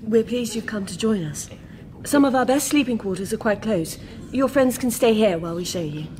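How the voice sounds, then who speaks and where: A woman playing a hospitable, placid female rabbit with a husky voice in a radio drama. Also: a little high, animated, moderate pace, background noise, lightly acted. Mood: welcoming, placid. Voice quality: husky, breathy. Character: serene, welcoming female rabbit, evasively placid.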